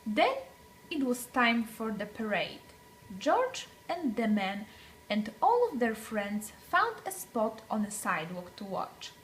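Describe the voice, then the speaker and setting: Slow, high-voiced woman reading a storybook. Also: slight background noise, poor audio. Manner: deliberate, dramatic phrasing with emphasized words. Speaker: children's story reader. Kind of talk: expressive picture-book read-aloud for young children.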